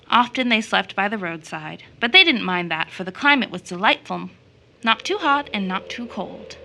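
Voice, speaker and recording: A woman's voice: medium pitch, bright, forceful tone. A woman acting as a storyteller in an audio drama, narrating a children's fairy tale expressively.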